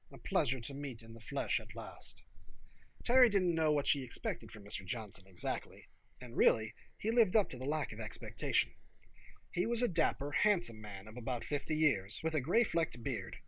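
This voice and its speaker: A man's voice: on the high side, a bit bright, low-quality recording. A man narrating scripted fiction as an audiobook reader.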